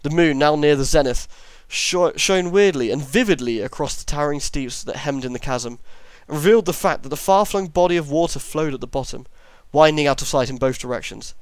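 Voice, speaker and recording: A man's voice: a little high, fairly quick, few pauses, clear, resonant tone, no room sound. A man narrating a horror story as an amateur reader, now and then stumbling over long words and correcting himself.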